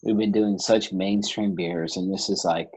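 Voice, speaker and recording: A man's voice: low-pitched, steady tone, moderate pace, darkish tone, slightly rough. A man in his thirties co-hosting a beer podcast, chatting casually and cracking teasing jokes. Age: 30s. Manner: joking, teasing. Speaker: podcast co-host. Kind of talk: casual chat.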